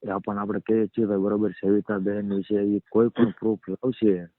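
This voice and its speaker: Low-voiced man, fast phone talk. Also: level tone, dry recording. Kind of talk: casual phone conversation. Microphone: phone call. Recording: dull, lo-fi phone call recording.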